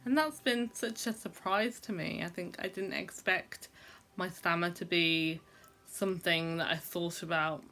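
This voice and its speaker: A woman's voice: fairly low pitch, unhurried, pushed and bright, faint music behind. A young adult woman who stammers, talking reflectively to camera in a personal vlog, with frequent fillers and repeated sounds. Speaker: vlogger.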